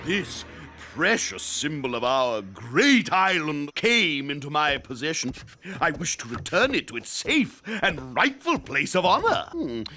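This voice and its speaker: A man's voice: a little high, big pitch swings, a little slow, pushed and bright, soft background music, full character voice. A man voicing a scheming, pompous crocodile tyrant in a cartoon, with theatrical grandeur and oily mock politeness. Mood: smugly formal. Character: scheming, pompous cold-blooded crocodile tyrant, grown male villain. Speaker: cartoon villain. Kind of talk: theatrical animated-series villain acting.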